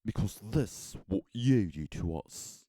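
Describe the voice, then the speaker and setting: An American man reading a literary story in a measured, solemn manner. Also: on the low side, animated, dark tone, slightly rough, no echo, studio quality. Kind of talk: scripted storybook narration.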